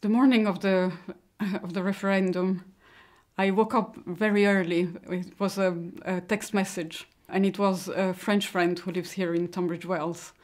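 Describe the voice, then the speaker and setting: A middle-aged woman who grew up in Italy, giving a personal account in a hesitant, halting way. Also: mid-range voice, fairly even intonation. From born in Mexico, grew up in Italy. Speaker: interviewee giving personal testimony.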